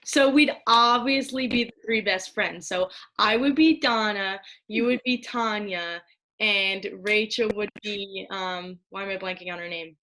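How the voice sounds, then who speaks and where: Young woman co-host, mid pitch, eager, matter-of-fact. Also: measured pace, bright, forceful tone, poor audio. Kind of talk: casual chat.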